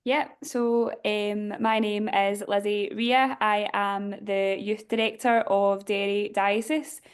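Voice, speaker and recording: Glasgow-accented woman with a smooth voice. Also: mid-range voice, level tone, takes it slowly. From Scotland. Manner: conversational, explanatory, with frequent fillers. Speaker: diocesan youth director. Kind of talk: workshop presentation.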